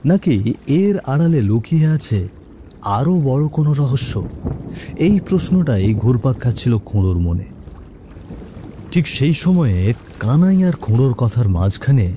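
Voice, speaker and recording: A man's voice: medium pitch, dark tone, a little hiss or hum. A man narrating a cartoon story as scripted suspense, in a dramatic storytelling manner.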